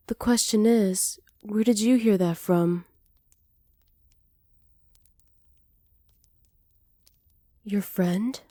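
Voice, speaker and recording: A sly, self-assured witch played by a woman speaks softly in a warm, dark-toned voice for an ASMR roleplay. She is flirtatious and hospitable, teasing and flattering as she goes. The sound is very dry, with no room around it. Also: mid-range voice, no room sound, lightly acted. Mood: sly, amused. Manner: soft-spoken, teasing, flattering, partly whispered. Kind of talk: ASMR roleplay, slow scripted acting.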